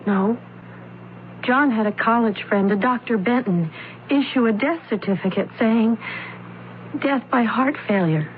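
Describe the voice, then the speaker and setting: An actress playing an earnest, anxious young opera student in an old-time radio mystery, with careful, dramatic diction. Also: female voice, fairly high pitch, a little slow, pauses often, lightly acted. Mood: earnest, uneasy. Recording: narrow, tinny old radio recording, hiss.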